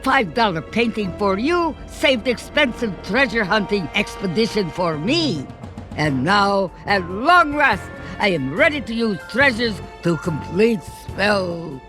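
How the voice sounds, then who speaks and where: A woman's voice: mid-range voice, big pitch swings, slow pace. A woman voicing a scheming, theatrical evil duck sorceress in a cartoon game, gloating and mocking in an Eastern European accent. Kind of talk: cartoon game villain acting. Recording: music behind the voice.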